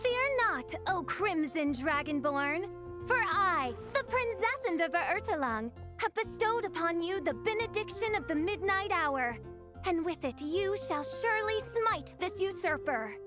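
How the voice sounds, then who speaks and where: A woman's voice: extremely high pitch, animated, a little slow. A woman voicing a theatrical young self-styled princess in a video game, pompous and archaic in her declamation. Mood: grandiose. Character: theatrical self-styled gothic princess, teenage girl, grandiose. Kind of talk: video game character dialogue. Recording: music playing behind.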